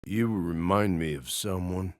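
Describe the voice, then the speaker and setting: An actor playing a gruff, grizzled old part-machine gunfighter in a video game, with an American accent, a gravelly husky voice and a wry, folksy, grumbling drawl. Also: male voice, low-pitched, unhurried, no echo, studio quality. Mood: guarded, subdued. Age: older. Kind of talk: video game character voice lines.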